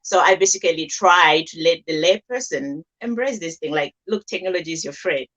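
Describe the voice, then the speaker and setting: A woman's voice: fairly low pitch, fairly quick, fairly bright tone, lo-fi sound. A woman from Cameroon, an author and speaker, answering as a guest on a live-stream show in a friendly, easygoing way. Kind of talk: interview guest.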